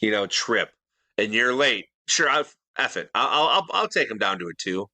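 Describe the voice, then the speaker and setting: A man co-hosts a casual podcast, easygoing and a little rambling as he tosses out questions. His lowish voice has a bright, forceful edge. The sound is close and completely dry. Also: lively intonation, normal speed, no room sound. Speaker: podcast co-host. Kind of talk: casual chat.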